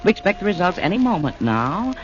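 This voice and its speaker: An actor playing a chummy, wisecracking announcer who narrates from the underworld in rhymes, in a 1940s radio comedy. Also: male voice, high voice, very animated, quick pace, heavy background noise. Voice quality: jokey, chummy, rhyming. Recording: muffled 1940s radio broadcast.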